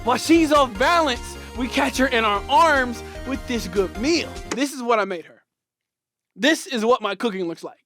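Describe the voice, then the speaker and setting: A man's voice: very high-pitched, lively intonation, normal speed, music in the background. Young man telling a funny story. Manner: playful, slangy, punchy delivery. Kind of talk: comedic personal storytelling.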